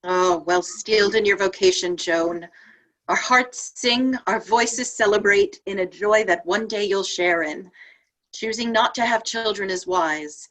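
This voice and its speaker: A woman playing a saintly martyred spirit in a drama read, speaking in lofty, measured lines, tender yet wry. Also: mid-range voice, fairly bright tone, lightly acted, rough recording. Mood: gentle, solemn. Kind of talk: poetic dramatic table read. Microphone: video call.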